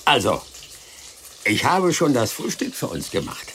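An actor playing a chummy, boastful old backwoods rascal in an audio drama, blunt and colloquial. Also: male voice, medium pitch, huge pitch swings, a little slow, a bit bright, slight background noise. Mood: chummy, hesitant. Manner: colloquial, blunt, interjection-filled. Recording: radio drama production with music.

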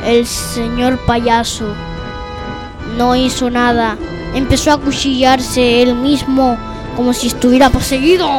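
High-pitched female storyteller, slow and halting. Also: level tone. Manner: halting, repeats and corrects phrases as improvising. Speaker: student storyteller in a radio theatre workshop. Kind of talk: improvised spoken horror story narration. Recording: loud music under the voice. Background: loud music.

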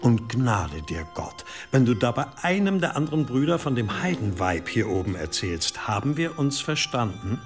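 Stern old abbot, played by a man, animated delivery. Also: medium pitch, moderate pace, hardly any pauses, over music, close to an everyday voice. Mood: stern, rebuking. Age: older. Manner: authoritative, admonishing. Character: stern, commanding elderly medieval abbot. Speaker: actor in a radio play. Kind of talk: German radio drama acting.

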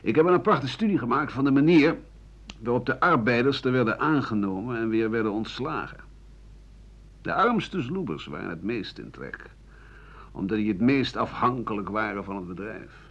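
A man's voice: medium pitch, expressive, normal speed, a bit bright. An actor in a radio play playing an embittered, world-weary old doctor and writer who grumbles disdainfully as he looks back. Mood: bitter, nostalgic. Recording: dull 1980 radio recording.

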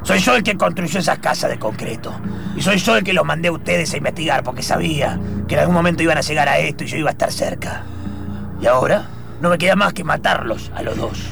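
A man's voice: fairly high pitch, lively intonation, fast talker, gravelly, music in the background. A man with an Argentine accent playing a smug, menacing police chief who gloats and threatens. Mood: gloating menace.

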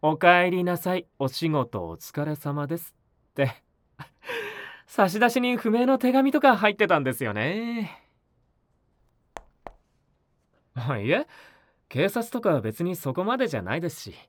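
A woman voicing a polite, mild-mannered young male neighbour in an audio drama, speaking softly and conversationally to the listener. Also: lowish voice, very animated, moderate pace, dry recording. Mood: casual, unbothered. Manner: polite, soft-spoken, conversational. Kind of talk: Japanese audio drama acting, spoken to the listener.

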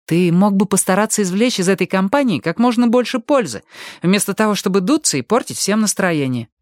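A woman voicing a sharp, stubborn teenage girl in an audiobook, emphatic and quick to argue or tease. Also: mid-range voice, lively intonation, no room sound, clean studio sound, voice pushed up, close to an everyday voice. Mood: teasing, scolding. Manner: emphatic, argumentative. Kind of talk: audiobook character voice.

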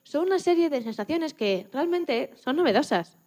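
Woman playing a high-voiced, chatty Spanish scientist. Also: normal speed. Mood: enthusiastic. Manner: expressive, chatty, self-correcting. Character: chatty, proud, enthusiastic woman Antarctic scientist. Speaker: interview guest.

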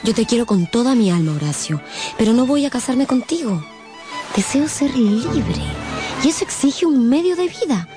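A woman's voice: a little high, sing-song, normal speed, over music. An actress playing a passionate, dreamy young woman with an earnest, independent streak in a radio drama. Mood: earnest, resolute.

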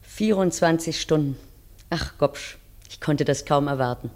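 A woman's voice: low voice, unhurried, darkish tone, slight background noise. An actress playing a weary, reproachful wife in a radio drama, wistfully recalling her youth and addressing her husband intimately.

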